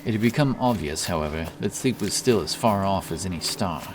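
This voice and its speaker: Brief: male narrator, low register, fantasy audiobook storytelling, noticeable ambience behind the voice. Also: expressive, fairly quick, noisy background. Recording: clear background ambience under voice.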